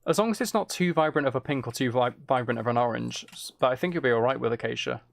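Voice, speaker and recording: A man's voice: medium pitch, fast, vocal fry, no echo. A man giving casual gameplay commentary, thinking aloud and correcting himself as he chats to viewers. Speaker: streamer playing a building game.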